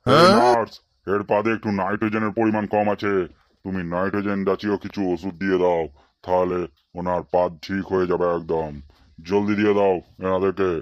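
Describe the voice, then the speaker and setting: A pompous, bossy quack doctor from a comedy cartoon, acted by a man. His voice sits on the low side and he drops English words in as he lectures. The sound is roomy and somewhat dull. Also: little pitch movement, a little fast, natural voice.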